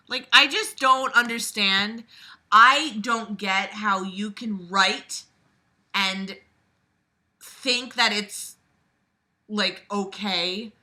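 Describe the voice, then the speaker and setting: A woman's voice: mid-range voice, very slow, long pauses, sharp tone. A woman reading a book aloud and critiquing it casually, blunt, exasperated and sweary, with sarcastic commentary.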